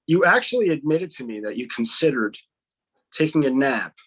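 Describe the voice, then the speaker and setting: A man's voice: medium pitch, big pitch swings, moderate pace, a bit bright, breathy edge, low-quality recording. A man hosting a podcast, joking, swearing and rambling in a loose, casual way. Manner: profane, rambling, jokey. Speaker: podcast host.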